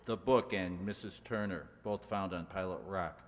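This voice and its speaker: A man playing a courteous but quietly menacing professor in an old-time radio mystery, formal and controlled. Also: deep voice, fairly even intonation, moderate pace, natural voice. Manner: formal, controlled, turning commanding. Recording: echoey hall with long reverb.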